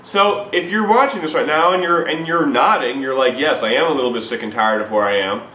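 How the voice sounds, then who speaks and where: A man giving an urgent, repetitive, preachy sales pitch straight to the camera. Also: brisk, bright, forceful tone, roomy sound, slight background noise, low-quality recording. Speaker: recruiting pitch to viewers.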